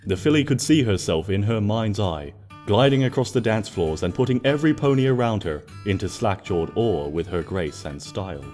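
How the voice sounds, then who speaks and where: Male narrator, lower register, gentle and expressive storybook read, soft music underneath. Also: moderate pace, few pauses. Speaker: fan fiction narrator.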